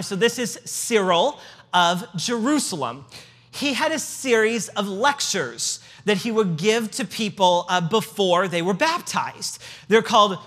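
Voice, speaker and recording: A man's voice: sits high, sharp tone. A man with a Midwestern accent giving a casual history lecture with slides, chatty and joking, with fillers. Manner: conversational, joking asides, many fillers. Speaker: church history speaker. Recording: hall reverb.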